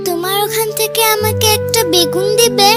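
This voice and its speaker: Casting: small animal girl, extremely high pitch, pleading and stubborn, kids' cartoon with prominent music. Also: steady tone, normal speed. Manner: wheedling, childlike. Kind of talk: children's cartoon voice acting.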